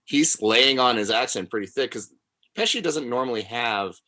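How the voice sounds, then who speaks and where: A man with a bright voice and very lively intonation co-hosts a podcast. He talks casually and animatedly about film and is easily amused. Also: mid-range voice, moderate pace. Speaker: podcast co-host.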